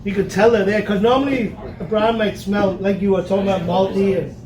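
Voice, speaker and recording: A man's voice: sits high, fairly even intonation, brisk. A man, from Newcastle, hosting a casual beer tasting, chatty and jokey with lots of fillers. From Newcastle, England. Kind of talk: casual chat. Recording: echoey room, distant mic, crowd chatter.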